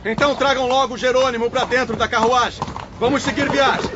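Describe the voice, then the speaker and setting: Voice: medium pitch, steady tone, fairly quick, lightly acted. An actor playing a commanding, urgent spirit guard leader in an audiobook drama, barking orders. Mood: firm command. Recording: muffled audio amid loud vehicle noise.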